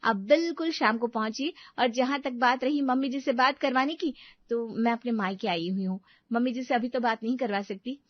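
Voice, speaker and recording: Woman as young daughter-in-law, eager, respectful, emphatic, high-ish pitch, family drama, dry muffled track. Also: moderate pace, no echo, natural voice. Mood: insistent. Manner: earnest, emphatic.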